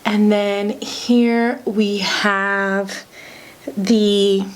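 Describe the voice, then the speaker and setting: A middle-aged woman with a raspy voice from a lingering cold, chatting through a product review as a beauty vlogger. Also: mid-range voice, little pitch movement, extremely slow. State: getting over a cold. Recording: roomy echo, faint background noise.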